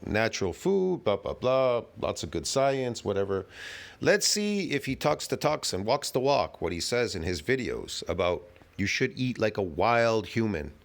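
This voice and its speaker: A man with a fairly low voice talks loosely and brashly, scoffing at what he watches. His commentary rambles and wanders off track. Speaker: channel host reacting to videos. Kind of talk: casual reaction commentary. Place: at home.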